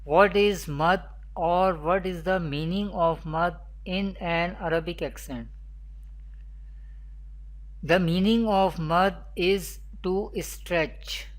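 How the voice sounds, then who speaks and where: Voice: mid-range voice, slow. A Quran teacher giving a scripted online lesson in a didactic, repetitive manner, asking questions and then answering them. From Pakistan.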